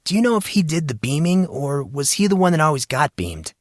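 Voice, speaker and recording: A man plays a naive teenage boy in an audio story. The voice is pitched high and recorded dry and close. He sounds eager and bewildered, forever asking questions. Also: sing-song, brisk, no echo. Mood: curious, insistent. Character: naive, bewildered fourteen-year-old schoolboy.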